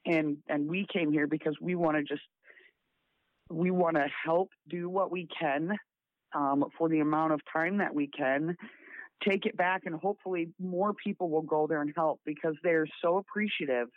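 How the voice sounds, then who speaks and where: A raspy man's voice comes down a narrow, tinny phone line. He sits a little high in pitch and talks haltingly, restarting often. The sound is dry and close. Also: fairly even intonation, dry recording. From South Dakota. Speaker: guest volunteer. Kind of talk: casual phone interview.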